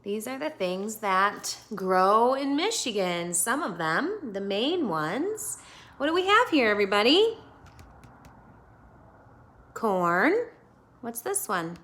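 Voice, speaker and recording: An American woman teacher reading a picture book aloud to children, expressive and instructive, pausing to put questions to her young listeners. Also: a little high.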